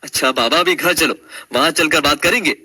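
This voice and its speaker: Husky, forceful young husband, acted by a man. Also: fairly high pitch, a little fast, full character voice, rough recording. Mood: concerned. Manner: emphatic, dramatic. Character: young husband, exasperated but caring and supportive.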